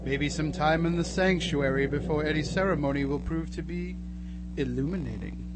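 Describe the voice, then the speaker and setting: A man playing a sinister, gloating villain in a horror radio drama, speaking in a theatrical, deliberate and menacing way. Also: a little high, heavy background noise, lightly acted. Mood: gloating menace. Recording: loud music under the voice. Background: loud music.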